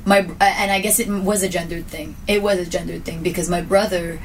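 A woman's voice: fairly low pitch, steady tone, brisk, a little hiss or hum. A woman co-host sharing a personal story earnestly in a podcast chat, often restarting her sentences. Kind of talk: casual podcast conversation. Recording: voice picked up from a distance.

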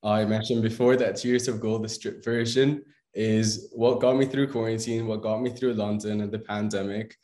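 A man's voice: on the low side, level tone, normal speed, mellow, roomy sound. A man interviewing a singer, asking questions in an enthusiastic, praising way.

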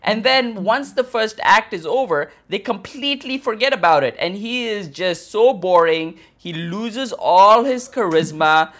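A man co-hosting a podcast, arguing his opinions casually in a halting, mock-serious, contrarian way. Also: high-pitched, lively intonation, a bit bright. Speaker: podcast co-host.

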